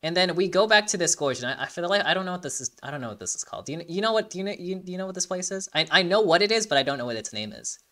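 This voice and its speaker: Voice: mid-range voice, expressive, racing, hardly any pauses, pushed and bright. An enthusiastic host giving casual reaction commentary, rambling and often repeating phrases while searching for words. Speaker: video host.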